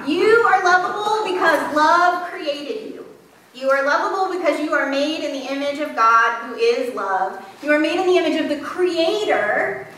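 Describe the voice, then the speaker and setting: Lady pastor preaching slow and candid, high voice, big echoey church, mic kinda far off. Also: pushed and bright. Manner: candid, self-deprecating storytelling. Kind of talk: sermon. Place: church sanctuary.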